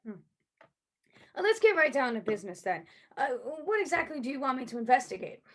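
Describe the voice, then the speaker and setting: Actress as female private investigator, high voice, courteous, cautious, skeptical, hesitant questioning, stage play reading. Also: very animated, a little fast, a bit bright, full character voice. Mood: businesslike, probing.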